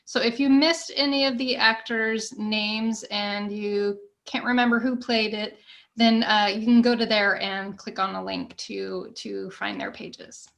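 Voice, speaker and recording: A woman's voice: mid-range voice, steady tone, measured pace, vocal fry, a bit bright. A woman hosting an online event, moderating casually over a video call. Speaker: event host.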